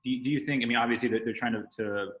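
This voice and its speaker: A man co-hosting a sports podcast, asking casual questions in halting, rambling phrases with a roomy sound. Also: mid-range voice, steady tone, fairly bright tone. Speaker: podcast co-host, interviewer.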